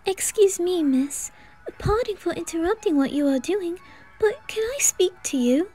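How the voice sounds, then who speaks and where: A woman's voice: very high voice, animated, measured pace, dark tone, faint music behind. A woman voicing a boy disguised as a bubbly, sweet girl, chirpy and giggly. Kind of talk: ASMR roleplay fan audio drama.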